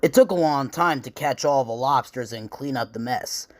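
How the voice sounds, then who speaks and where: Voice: mid-range voice, normal speed. A narrator reading a scripted children's-style story for a comic dub.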